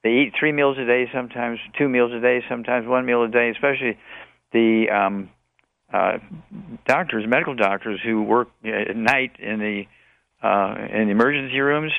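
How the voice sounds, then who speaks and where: A man's voice: medium pitch, moderate pace, vocal fry, no echo. A man in his eighties answering questions as a guest on a radio call-in show, rambling and repeating himself in lists. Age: 80s. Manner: rambling, list-like repetition. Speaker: guest health supplement promoter. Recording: narrow phone-like line.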